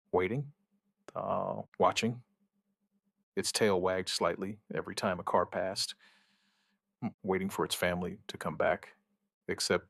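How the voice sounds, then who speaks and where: An actor playing a shaken outbreak survivor, giving his account in halting, somber, dramatic narration with short deliberate phrases. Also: deep voice, creaky, no echo, clean studio sound, full character voice. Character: shaken male outbreak survivor recounting events, dryly stubborn. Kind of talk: dramatic first-person scripted narration, witness account.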